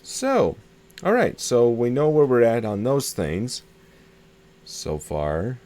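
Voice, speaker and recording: A man's voice: medium pitch, wildly swooping pitch, slow, a little mellow. A man talking casually and tentatively through a hands-on repair demonstration, thinking aloud and often repeating himself as a hobbyist host. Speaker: hobbyist video host showing a radio repair. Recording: clear background noise.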